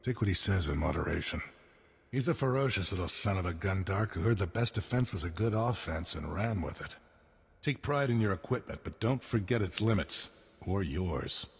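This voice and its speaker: A man playing a gruff but patient armor trainer, measured and dryly amused, in a video game. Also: low-pitched, expressive, full character voice. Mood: instructive. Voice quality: husky, raspy. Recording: long reverb.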